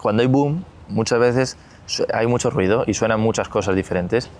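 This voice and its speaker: A man's voice: on the low side, normal speed, darkish tone, a little hiss or hum. A man hosting a business interview, circling through restarts and asides before landing his questions. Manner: rambling, stacks restarts before reaching the question. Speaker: podcast host, interviewer.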